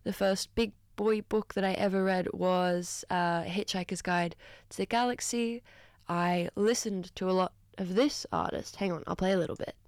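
A woman's voice: on the low side, steady tone, moderate pace, dry recording. A young Australian woman in her twenties from Newcastle, hosting a radio show in a studio, chatting casually and upbeat between tracks with frequent ums and asides. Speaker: radio host. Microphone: studio microphone.

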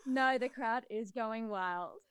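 A woman's voice: on the high side, takes it slowly, clear, resonant tone. A woman hosting an interview show, bubbly and effusive with her guest. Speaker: interview host. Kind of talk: casual interview.

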